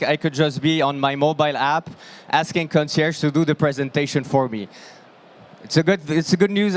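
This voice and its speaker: A man in his thirties presenting on an expo hall stage with a handheld mic. His voice is strained and fading from shouting, and his manner is upbeat and joking. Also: fairly high pitch. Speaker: product presenter. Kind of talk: conference stage talk. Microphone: handheld microphone.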